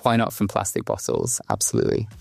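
A man's voice: sits low, huge pitch swings, fast talker, vocal fry, a little mellow. A man speaking as a scientist guest, giving answers in an interview.

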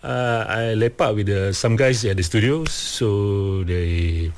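A man speaks haltingly, pausing and restarting as he hunts for English words. He laughs often between his answers, chatting casually as a band's vocalist. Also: fairly low pitch, a little mellow. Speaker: metal band vocalist.

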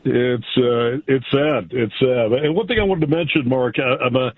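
A man with an American accent calling a radio show by phone, conversational and a little halting as he repeats words, on a tinny line. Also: medium pitch, dry recording. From United States. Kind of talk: conversational political call-in. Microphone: telephone.

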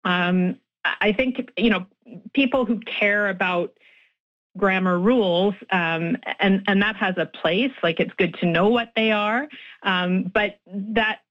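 A woman's voice: mid-range voice, a little slow, lo-fi sound. A woman linguist explaining her research in a radio interview, thoughtful, with frequent restarts and fillers. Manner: thoughtful, many restarts and fillers, laughs easily. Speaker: linguist guest.

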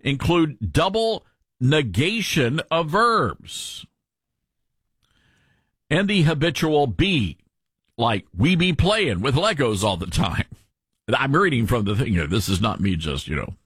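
A radio host with a mild Tennessee accent, reading news aloud and adding wry asides in casual chat. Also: male voice, mid-range voice, fairly bright tone, husky, no echo, studio quality. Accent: mild Southern US, Tennessee.

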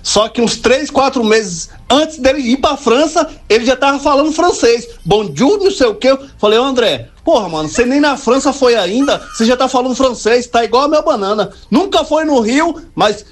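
A man's voice: very high voice, expressive, normal speed, few pauses. A man with a Brazilian accent chatting casually and telling stories in a slangy, joking way. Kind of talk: casual anecdotal chat. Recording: faint music behind the voice.

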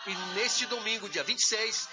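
A man's voice: sits high, normal speed, muffled recording, over music. A Brazilian man, a pastor, emphatically announcing a church event and repeating phrases to exhort listeners. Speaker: pastor and co-host.

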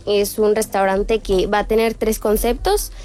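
A woman's voice: mid-range voice, fairly even intonation, unhurried, darkish tone. A woman with a Mexican Spanish accent answering casually as an interview guest. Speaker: interview guest, restaurant founder.

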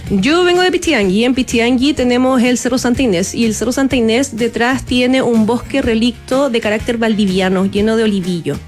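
A woman with a Chilean accent talks as a guest on a radio show, her speech eager and flowing. Her voice sits a little high. Faint music hums underneath her. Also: brisk, hardly any pauses, a little mellow. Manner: enthusiastic, flowing, with Chilean fillers. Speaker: tourism entrepreneur guest. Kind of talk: radio interview.

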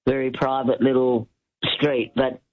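Aussie bloke calling in, chatty and rambly, medium pitch, kinda muffled lo-fi line. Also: male voice, level tone, unhurried. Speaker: caller. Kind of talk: casual chat.

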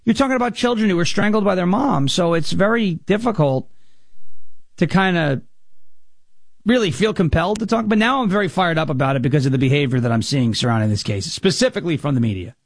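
An American man with a raspy voice from New York, hosting a talk radio show in an emphatic, jokey way. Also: high voice, lively intonation, fast talker, no room sound, studio quality. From New York, United States. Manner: emphatic, jokey, slips into impression bits. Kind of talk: political talk show monologue with comic bits.

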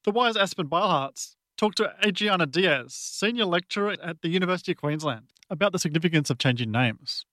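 A man with a bright, slightly high voice reads a scripted news introduction. His delivery is smooth and even, recorded close and dry with no room around it. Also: a little fast, few pauses, no room sound. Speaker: newsreader.

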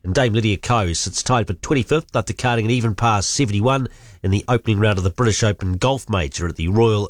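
A man reading a radio sports news bulletin in a brisk, even way. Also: medium pitch, no room sound, clean studio sound. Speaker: sports newsreader.

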